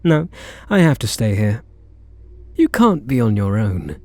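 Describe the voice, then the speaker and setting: Male actor as British kidnapper, ASMR roleplay, wry, slow halting delivery, swooping pitch, gruff but softening. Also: sing-song, no echo. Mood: wry, amused. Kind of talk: ASMR roleplay acting, intimate one-sided dialogue.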